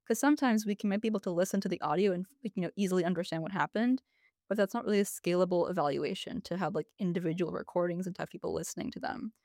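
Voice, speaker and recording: A woman's voice: on the low side, rapid-fire, a little mellow, dry recording, clean studio sound. A woman speaking as an interview guest, explaining casually and thinking aloud with "like" and "you know".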